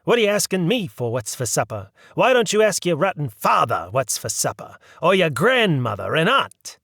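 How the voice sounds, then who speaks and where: A man's voice: a little high, very animated, normal speed, vocal fry, no echo, studio quality, voice pushed up, heavily acted. A man doing a snapping, scolding mother. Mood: exasperated. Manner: yelling, scolding.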